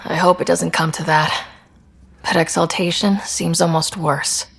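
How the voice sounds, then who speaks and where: A young woman leading a space expedition in a video game. Her voice sits fairly low with a gravelly fry at the edges. She sounds steady and earnest, like a former peacekeeper. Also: unhurried, full character voice. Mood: serious.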